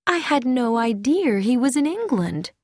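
A woman's voice: high-pitched, sing-song, unhurried, no room sound, clean studio sound, pitched above the performer's own voice, heavily acted. A woman reading as a serene, sweet elderly lady. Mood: gentle. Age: older. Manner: soft, musical and untroubled. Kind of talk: audiobook character voice.

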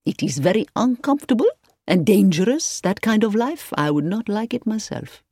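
A woman's voice: medium pitch, lively intonation, normal speed, mellow, breathy edge, dry recording, clean studio sound, voice pushed up. Woman putting on an exuberant, gushing hotelkeeper man. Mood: jovial, effusive. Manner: effusive, enthusiastic, emphatic. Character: exuberant, hospitable foreign hotelkeeper man, effusive and jovial.

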